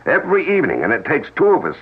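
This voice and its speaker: An actor playing a concerned, well-meaning friend in a 1970s radio drama, halting and hesitant. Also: male voice, on the high side, wildly swooping pitch, breathy edge, heavily acted. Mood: amused. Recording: tinny lo-fi old radio broadcast.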